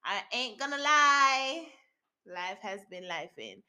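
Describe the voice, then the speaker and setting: Woman, late twenties, solo podcast host; unhurried, candid, playful slang, laughs a lot. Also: a little high, lively intonation, pushed and bright, smooth. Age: late 20s. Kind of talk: casual solo podcast chat. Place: home in Japan.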